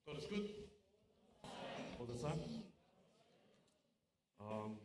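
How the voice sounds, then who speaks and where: A man gives an informal church testimony at a middling pitch, conversational yet emphatic, circling back to repeat key phrases. His voice rings in a roomy space, with faint noise behind it. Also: sing-song, extremely slow. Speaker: church youth testimony speaker. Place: church hall, speaking to congregation.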